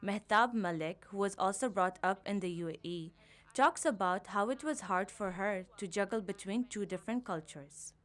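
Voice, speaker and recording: A young woman reading a student news report as narrator, in an even, measured way. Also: medium pitch. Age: young adult.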